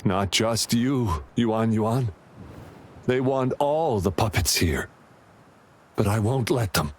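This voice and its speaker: A man's voice: lowish voice, lively intonation, slow pace. An actor playing a devoted, protective father in a video game, talking tenderly and anxiously. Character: devoted protective father, middle-aged man.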